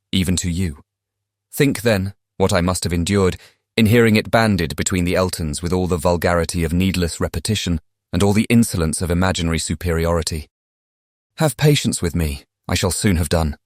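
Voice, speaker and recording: A man with a British accent playing a contrite, impassioned young English gentleman reading out his letter in formal period language for a dramatized audiobook. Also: sits low, dry recording, studio quality, close to an everyday voice. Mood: indignant. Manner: formal, eloquent period diction. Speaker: audiobook cast reader.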